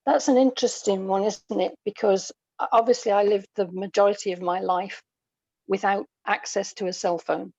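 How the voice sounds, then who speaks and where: A woman's voice: medium pitch, steady tone, moderate pace, darkish tone, no room sound. A middle-aged woman with a British accent chats as a guest over an internet call. Her sound is dry and a little dull, and she talks reflectively in casual anecdotes, often checking in with tag questions. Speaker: podcast guest, writer.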